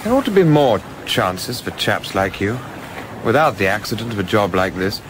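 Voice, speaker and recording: A man playing a proper young English gentleman official in a radio play, clipped, polite and measured in manner. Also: on the low side, animated, lightly acted. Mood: thoughtful. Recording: clearly noisy ambience behind voice.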